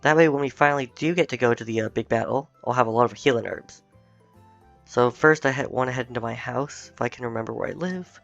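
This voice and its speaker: Gravelly, slightly hoarse gaming host. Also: male voice, medium pitch, fast, fairly bright tone, soft background music. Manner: chatty, thinking aloud while playing. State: slightly hoarse, recovering from illness. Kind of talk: casual let's-play commentary. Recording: dull, dry home recording.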